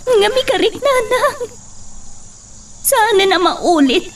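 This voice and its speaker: A woman acting a heartbroken, distrustful young woman in a romantic drama, with drawn-out emotional delivery. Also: extremely high pitch, lively intonation, far from the mic, a little hiss or hum, exaggerated voice. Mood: anguished. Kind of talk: Ilocano romantic radio-style drama acting.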